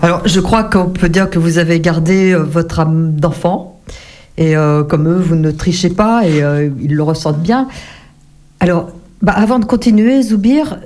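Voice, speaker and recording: Mellow-voiced woman interviewer, courteous. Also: on the low side, slight background noise. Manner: courteous, measured questions with many "euh" pauses. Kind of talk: radio-style interview.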